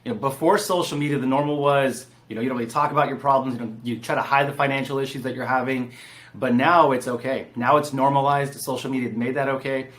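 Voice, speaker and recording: A man's voice: mid-range voice, steady tone, fast, fairly bright tone. Casual man. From San Diego, California. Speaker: podcast guest, real estate entrepreneur. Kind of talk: casual interview storytelling.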